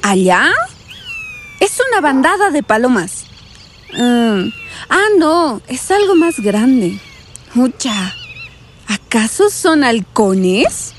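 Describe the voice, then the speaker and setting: A breezy, skeptical female vacationer, acted by a woman in an audio drama, with a high voice that swings widely. She is colloquial and sassy, quick to get annoyed, and takes her time over the words, with music playing underneath. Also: sing-song, a little hiss or hum. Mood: curious, alarmed. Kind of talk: scripted audio drama acting.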